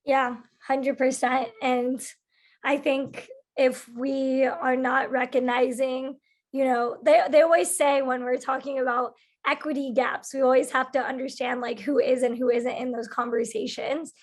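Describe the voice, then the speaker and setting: A woman moderating a panel in an affirming, measured way. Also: high voice, flat delivery.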